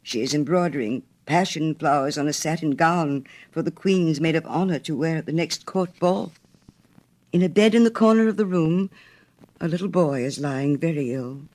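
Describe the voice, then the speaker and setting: A woman voicing a sorrowful, noble prince's statue in a storybook, low and musical, with a stately, measured manner. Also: darkish tone. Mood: compassionate. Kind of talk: storybook character reading.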